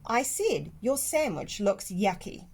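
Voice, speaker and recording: A woman's voice: mid-range voice, big pitch swings, takes it slowly, a little mellow, slightly rough, heavily acted. A woman voicing a young schoolgirl from a picture book, blunt and emphatic but friendly. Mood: blunt, scornful.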